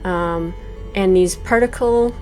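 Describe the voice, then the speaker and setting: A woman's voice: mid-range voice, slow pace, dark tone, soft background music, low-quality recording. A woman in her forties giving thoughtful, hesitant let's play commentary with many fillers while reviewing a game. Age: 40s.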